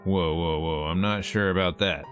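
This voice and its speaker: A man's voice: deep voice, steady tone, normal speed. A man playing a game on stream, reading its text aloud with joking, casual commentary and acting out lines. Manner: joking, acts out lines as he reads. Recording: game music playing behind. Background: visual novel music and character voices.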